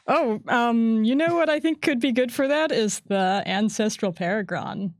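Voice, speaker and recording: A woman's voice: a little high, expressive, moderate pace, dry recording. A woman co-hosting a game design live stream, chatting playfully and joking. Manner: playful, joking, laughs often. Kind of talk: casual live-stream chat.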